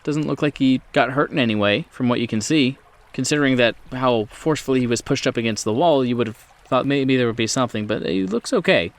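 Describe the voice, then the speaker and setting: A man running a tabletop horror game as game master, setting scenes in the second person with deliberate phrasing. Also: mid-range voice. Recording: music behind the voice.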